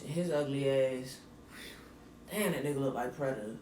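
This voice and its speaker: A woman with a slightly raspy voice, co-hosting a podcast with blunt, animated banter. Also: very deep voice, moderate pace. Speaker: podcast co-host. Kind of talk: casual chat. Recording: distant mic in an echoey room with faint noise.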